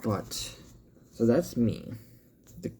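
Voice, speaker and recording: A man with an American accent talking casually as a vlogger, thinking aloud in halting commentary as he reads through results. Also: mid-range voice, lively intonation, dark tone, a little hiss or hum. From born in Cuba, grew up in the US. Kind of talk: casual update talk, reading results aloud.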